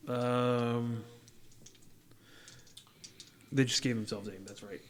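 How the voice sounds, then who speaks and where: A man's voice: medium pitch, little pitch movement, takes it slowly. A man chatting casually as he talks through his moves in a tabletop game. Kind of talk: casual chat during tabletop gameplay. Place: indoors around a game table. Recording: noisy room ambience.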